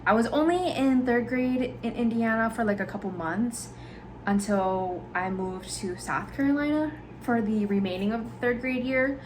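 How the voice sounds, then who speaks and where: A woman's voice: fairly high pitch, moderate pace, slight background noise, poor audio. A young woman from Hawaii, hosting a podcast and telling personal stories in a candid, rambling way with many fillers. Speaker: podcast host. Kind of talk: casual personal storytelling.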